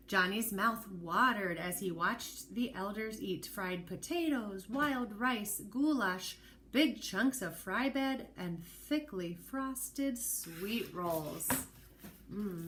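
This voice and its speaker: A woman teacher reading a children's storybook aloud expressively, pausing to explain things and put questions to the listener. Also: medium pitch, a bit bright, a little hiss or hum. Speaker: early learning teacher.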